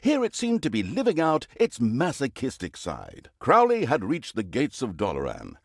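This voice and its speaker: A man's voice: mid-range voice, huge pitch swings, moderate pace, gravelly, slightly rough, dry recording, heavily acted. Sardonic fantasy game narrator, male, theatrical, mock-grandiose, hugely varied intonation, dry close studio sound. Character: pompous, sardonic male storyteller narrating an evil overlord's campaign.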